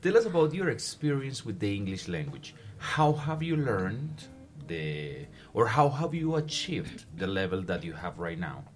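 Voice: mid-range voice, very animated, a little slow, few pauses, faint music behind. An interviewer asking a student questions for listeners, encouraging her, rephrasing questions and supplying the words she is missing. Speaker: interviewer host.

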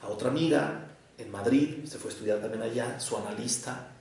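A man from Mexico with a Mexico City and Jalisco accent, a psychoanalyst giving a measured public lecture in short, deliberate phrases. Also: mid-range voice, gravelly, darkish tone, slight background noise. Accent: Mexican, Mexico City mixed with Jalisco. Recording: echoey hall from far off.

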